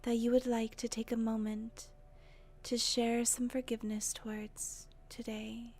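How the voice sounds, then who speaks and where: Slow, soft-spoken woman guiding meditation. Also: fairly high pitch, a little hiss or hum. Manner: gentle, breathy, unhurried with long pauses. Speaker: guided meditation host. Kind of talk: guided meditation, soothing narration.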